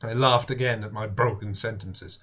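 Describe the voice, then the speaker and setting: A man reading a classic science fiction novel aloud as dramatic narration, with deliberate, expressive, theatrical phrasing. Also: on the low side, slightly rough. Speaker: audiobook reader. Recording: dull lo-fi home recording.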